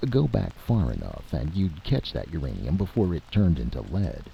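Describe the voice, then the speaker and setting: A man voicing a reasonable, frank commission chairman speaking in measured terms in a story reading, on a dull lo-fi recording. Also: rumbling low, gravelly, heavily acted. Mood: reasoned, regretful. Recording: dull lo-fi audio with steady hiss.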